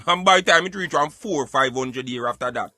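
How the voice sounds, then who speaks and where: A man's voice: mid-range voice, a little fast, pushed and bright, no echo, faint music behind. A man with a Jamaican accent hosting a radio show, giving emphatic, colloquial satirical commentary in a conversational way. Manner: emphatic, colloquial, addressing listener as brethren.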